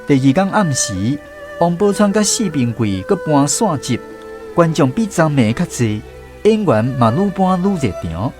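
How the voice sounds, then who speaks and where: A man's voice: a little high, very animated, measured pace, over music. A man with a Taiwanese accent giving scripted storytelling narration for a radio drama.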